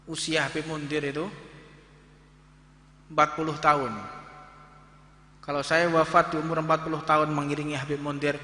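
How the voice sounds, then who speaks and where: A man in his thirties with a Javanese accent preaches with rising passion, stretching out Arabic phrases. His voice is fairly high and very sharp and forceful. It rings with long reverb through a mosque hall. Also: little pitch movement, normal speed, long pauses. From Indonesia. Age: 30s. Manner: rises to impassioned, fervent preaching, draws out Arabic phrases. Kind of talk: sermon.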